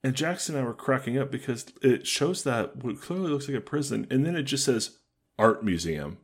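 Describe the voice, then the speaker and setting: A man co-hosting a podcast in casual comedic chat, dry and joking, with a deep voice. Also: animated, a little fast, slightly rough. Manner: dry, joking, riffs on bad movies. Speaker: podcast co-host.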